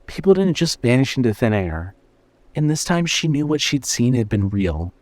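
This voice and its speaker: Guy narrating a scary story, measured suspenseful read, mid pitch, really dry recording. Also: sing-song, darkish tone, no echo. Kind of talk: scripted narration of horror fiction.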